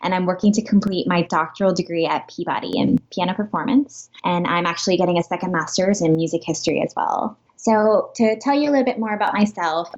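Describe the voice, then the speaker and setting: A young woman from Pittsburgh answering interview questions in a friendly way, laughing lightly as she talks. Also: lowish voice. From Pittsburgh, Pennsylvania. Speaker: guest, pianist. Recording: dull lo-fi remote line.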